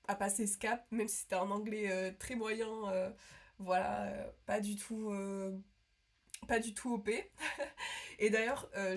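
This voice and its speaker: A young woman from France chatting casually to her viewers, rambling with lots of fillers and restarts. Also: mid-range voice. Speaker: vlogger. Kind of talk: casual chat to camera.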